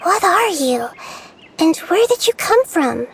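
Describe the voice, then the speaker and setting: A woman voicing a young goddess girl who is gentle, curious and wise, explaining things in a soft, thoughtful way. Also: extremely high pitch, very animated, slow, background noise, exaggerated voice.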